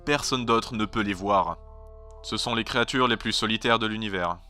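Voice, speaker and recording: A man's voice: lowish voice, normal speed, sharp tone. A man voicing a grave, eccentric stranded time traveler in an amateur fandub, explaining things earnestly and dramatically. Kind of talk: amateur French fandub acting. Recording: home dub over background music.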